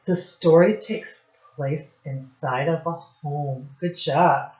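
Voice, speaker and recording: A woman teacher reading a storybook aloud to young children in a deliberate, instructive way, pausing to ask them questions. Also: low-pitched, a little mellow, lo-fi sound.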